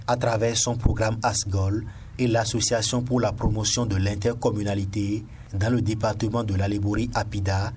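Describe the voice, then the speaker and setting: A man reading a scripted TV news report as the reporter. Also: on the low side. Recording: noisy voice-over with ambient sound.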